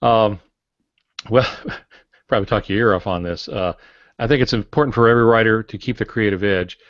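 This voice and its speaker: An older man speaks in a low register, offering advice like a folksy mentor on a podcast. He leans on homespun figures of speech. The sound is close and dry with a somewhat muffled top end. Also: moderate pace, no room sound. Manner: folksy, given to homespun metaphors. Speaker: podcast co-host and writing mentor. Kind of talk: casual advisory podcast talk. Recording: dull, lo-fi, dry podcast audio.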